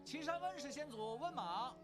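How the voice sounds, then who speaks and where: A playful, clever teenage boy speaks boldly in an animated drama. His pitch is high and lively, with music behind him. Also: moderate pace. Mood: confident. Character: cocky, clever, playful teenage male disciple.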